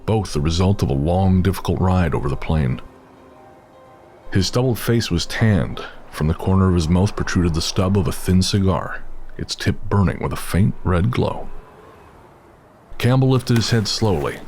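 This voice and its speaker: A man's voice: low-pitched, darkish tone. A man narrating a scripted dramatic story, with music behind him.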